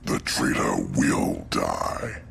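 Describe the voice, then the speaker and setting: A man voicing a savage, loyal inhuman warrior in a video game, with a gravelly growl and solemn, formal speech. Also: a bass voice, very animated, a bit bright, exaggerated voice. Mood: menacing. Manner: slow, solemn, formal phrasing. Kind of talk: video game cutscene acting. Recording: noise behind the voice.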